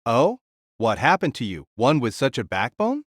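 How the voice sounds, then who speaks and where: A man voicing a boisterous, carefree warrior king who makes loud, hearty proclamations. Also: medium pitch, huge pitch swings, moderate pace, dry recording, studio quality, pitched below the performer's own voice. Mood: amused. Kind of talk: audiobook character voice.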